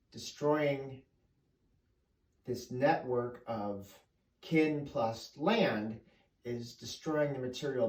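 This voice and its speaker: A man lecturing as a professor, explaining deliberately with emphatic phrasing and pauses. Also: on the low side, a little mellow. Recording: echoey room, distant microphone.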